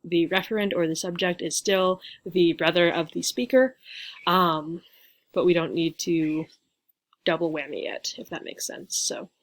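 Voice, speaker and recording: A woman's voice: lowish voice, measured pace. A young woman teaching a grammar lesson over slides, chatty and loose, with many fillers and playful asides, recorded on a webcam at home. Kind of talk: casual slide lecture.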